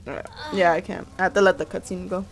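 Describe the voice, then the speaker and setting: A woman's voice: fairly low pitch, steady tone, quick pace, noisy background. A woman hosting a gameplay video, reacting casually with lots of swearing and repeated phrases. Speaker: gaming video host. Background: video game sound and music.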